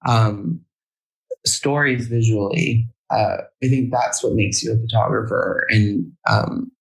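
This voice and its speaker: A young man talking as a podcast guest, hesitant and halting, restarting his sentences often. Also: lowish voice, steady tone, vocal fry, rough recording. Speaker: guest photographer. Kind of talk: casual interview chat.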